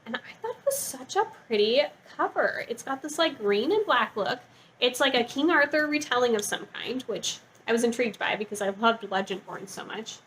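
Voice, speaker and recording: A woman with a fairly high voice talks casually, as a book vlogger would to her viewers. Her delivery is informal and gushing, sprinkled with "like". Some faint noise sits behind her voice. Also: expressive, normal speed, a bit bright, rough recording. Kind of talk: casual chatty book review to camera.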